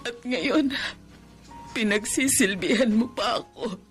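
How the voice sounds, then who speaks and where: A woman's voice: a little high, slow, soft background music. An actress playing a middle-aged mother-in-law in a radio drama, tearful and pleading for forgiveness. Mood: remorseful. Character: remorseful bedridden mother-in-law, woman, older.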